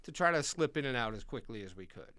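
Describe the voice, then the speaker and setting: A man plays a kindly old American Santa Claus, chatting fondly as a podcast host. The voice is smooth and clear at a middling pitch, gentle and reassuring, in a close, dry recording. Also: big pitch swings, fast talker, no echo. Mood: chatty, fond. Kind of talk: in-character casual interview.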